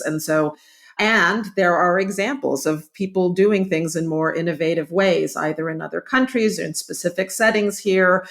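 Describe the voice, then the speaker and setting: A woman hosting a professional interview podcast, speaking in an articulate, measured way. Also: on the low side. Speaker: podcast host, journal editor.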